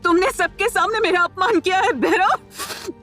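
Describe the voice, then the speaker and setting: A woman's voice: medium pitch, lively intonation, unhurried, faint music behind, natural voice. An actress playing a proud, hot-tempered, scorned young woman in an audio drama, with an emotional, melodramatic delivery. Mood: tearful, wounded. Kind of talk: Hindi audio drama acting.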